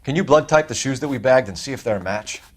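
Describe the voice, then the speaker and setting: A man's voice: medium pitch, animated, fast, slight background noise, natural voice. A man playing an earnest, authoritative police detective in a game, clipped and formal, with an American accent. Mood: brisk, formal.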